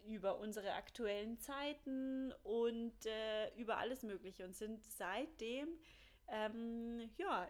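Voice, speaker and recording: A young woman with a faint Bavarian tinge hosts a casual podcast interview. Her voice sits a little high, and she talks in a gushing, chatty way full of small fillers. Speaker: podcast host.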